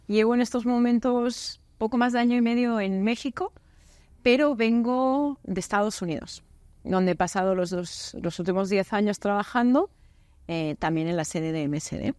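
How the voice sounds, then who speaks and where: A woman's voice: mid-range voice, normal speed, a little mellow, dry recording. A woman from Spain, a business unit director, talking as a podcast guest in a friendly, candid, conversational way in a studio. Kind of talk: casual interview chat.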